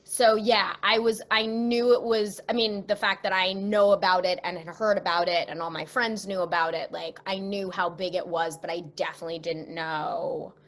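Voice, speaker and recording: A woman chatting casually as an interview guest, expressive and jokey. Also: on the low side, moderate pace, hardly any pauses. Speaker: voice actress guest.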